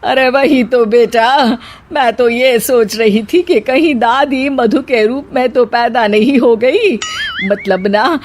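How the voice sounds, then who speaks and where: A woman's voice: fairly high pitch, takes it slowly, over music. A woman voicing an exasperated middle-aged mother-in-law in a cartoon, sarcastic and scolding. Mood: complaining.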